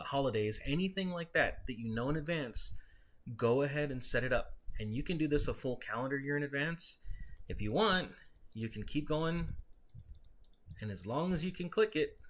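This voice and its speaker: A man presenting a software tutorial in a conversational, explanatory way, taking the listener through it step by step. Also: medium pitch. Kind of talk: casual step-by-step software walkthrough.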